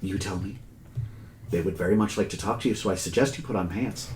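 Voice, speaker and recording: A man's voice: low-pitched, a little fast, a little hiss or hum, pitched below the performer's own voice, natural voice. A man voicing an angry, stern mother scolding her teenage son in clipped, demanding phrases. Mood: stern, pissed.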